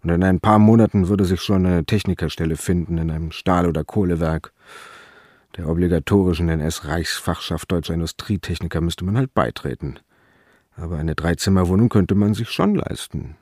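A man's voice: low voice, normal speed, darkish tone, dry recording, studio quality. An actor playing a wistful, tender man on the run in a radio drama. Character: wistful, tender male fugitive courting a young woman.

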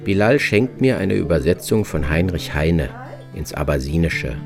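Low-voiced man narrating in clipped phrases. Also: lively intonation, normal speed, vocal fry. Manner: terse, clipped, fragmentary phrasing. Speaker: narrator of a radio travel piece. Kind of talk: scripted first-person narration, radio feature. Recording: music behind the voice. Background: music.

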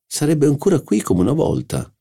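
A man's voice: medium pitch, huge pitch swings, brisk, gravelly, warm tone, husky, voice pushed up, exaggerated voice. A man voicing a tactless, pompous middle-aged professor who blurts out awkward remarks. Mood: blunt.

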